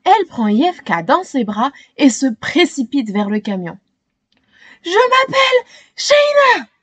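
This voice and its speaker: A woman reading a children's storybook aloud with expressive, dramatic narration, as if to young listeners. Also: fairly high pitch, moderate pace, breathy edge, no echo. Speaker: storytime reader.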